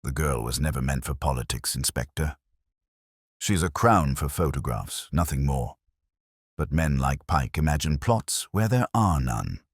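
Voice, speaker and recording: A man playing a brisk, practical, dismissive village woman in a mystery story. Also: very deep voice, expressive, breathy edge, no echo, studio quality, heavily acted.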